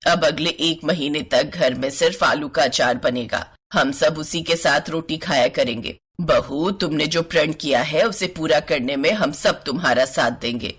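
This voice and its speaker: Woman doing older saas in a family cartoon story, lower voice, bossy but approving, lays down the rules. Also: a bit bright, breathy edge, full character voice. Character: firm, approving older mother-in-law of an Indian household. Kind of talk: animated story character voice.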